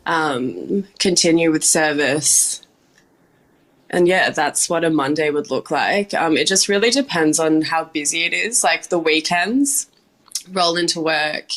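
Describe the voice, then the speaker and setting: Low-voiced young woman, chatty. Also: a bit bright, rough recording. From Australia. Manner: chatty, many "like" and "um" fillers, laughs often. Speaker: bar manager and junior sommelier, guest. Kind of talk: casual interview talk.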